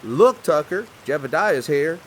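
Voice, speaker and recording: A man's voice: on the high side, lively intonation, unhurried, a little hiss or hum. A man playing a stressed, plain-spoken hillbilly cousin urgently seeking help in a comedy audio drama. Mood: uneasy.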